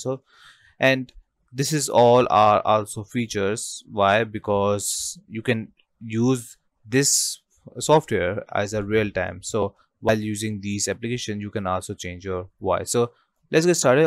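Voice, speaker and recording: A man hosting an informal software tutorial, talking through each step in a halting way with habitual filler phrases. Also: on the low side, no echo. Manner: halting, repeats filler phrases like obviously and you know. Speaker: tutorial host.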